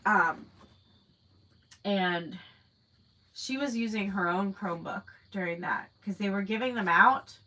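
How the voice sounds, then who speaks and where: A woman's voice: mid-range voice, a little slow, long pauses, sharp tone, low-quality recording. A woman in her thirties with a stuffy nose from a cold, chatting casually and rambling on a livestream as she answers viewers. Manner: chatty and rambling, many fillers. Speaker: livestream host. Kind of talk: casual live chat answering viewer comments.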